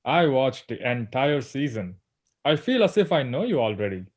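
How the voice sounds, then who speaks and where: A man playing an uneasy thirty-something agent in a scripted table read, expressive and awkwardly polite. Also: medium pitch, moderate pace. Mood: friendly. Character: uneasy thirty-something male agent, torn between duty and friend.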